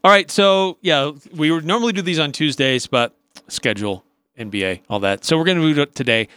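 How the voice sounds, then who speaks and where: An American man co-hosts a sports radio show, talking through analysis in a conversational way. His voice sits a little high. The recording is dry, with very little room sound. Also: a little fast, fairly bright tone, clean tone, dry recording.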